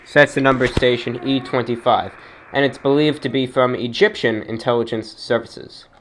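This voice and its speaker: A man's voice: mid-range voice, fairly even intonation, normal speed, noisy background. A man hosting an informal radio-style show, speaking like an announcer with emphatic delivery. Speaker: show host.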